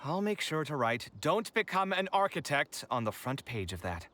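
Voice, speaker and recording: Expressive bright-voiced young architect. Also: male voice, on the high side, normal speed. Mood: teasing, worried. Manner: theatrical, expressive. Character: dramatic, expressive young male architect, easily exasperated. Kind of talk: video game voice acting. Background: game music.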